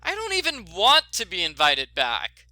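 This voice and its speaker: Man voicing an indignant young woman, bright-toned. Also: sits high, wildly swooping pitch, a little slow, no echo, full character voice. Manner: sarcastic, complaining. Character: indignant young female detective, exasperated city girl.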